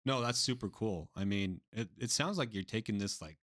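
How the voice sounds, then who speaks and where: A man's voice: on the low side, animated, moderate pace, a bit bright. A man hosting a podcast interview, asking casual questions and backing up his guest with short replies. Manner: easygoing, many short backchannels. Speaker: podcast host.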